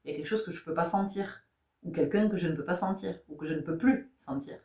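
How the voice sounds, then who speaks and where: A woman's voice: lowish voice, quick pace, mellow, recorded from a distance. Woman giving spiritual guidance, echoey room. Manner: rhetorical questions, repeats phrases, checks in with "d'accord?". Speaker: tarot and spiritual guidance reader.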